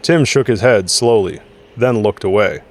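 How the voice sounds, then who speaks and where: A man's voice: lowish voice, normal speed. A man reading scripted dramatic story narration as the narrator of an audio drama.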